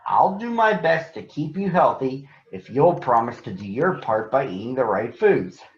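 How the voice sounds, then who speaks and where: A man voicing a patient female grizzly bear doctor from a storybook, explaining things in a deliberate, encouraging way. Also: mid-range voice, very animated, close to an everyday voice. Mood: instructive. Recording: echoey room, slightly dull sound.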